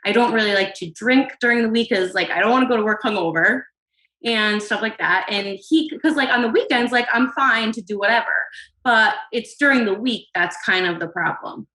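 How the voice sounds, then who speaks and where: A woman's voice: a little high, fairly quick, pushed and bright, echoey room, poor audio. A woman in her twenties calling in to a podcast, explaining her side of a conflict with her boyfriend hesitantly and with many fillers. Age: 20s. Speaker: caller. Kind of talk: casual conversation.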